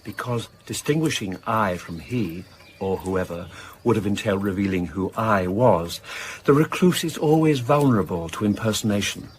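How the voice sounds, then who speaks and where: An actor playing an evasive, reclusive crime novelist in a radio drama, British accent, articulate, guarded and pedantic. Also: male voice, fairly low pitch, lively intonation, moderate pace, a little hiss or hum, lightly acted. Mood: precise, guarded. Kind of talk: naturalistic radio drama acting.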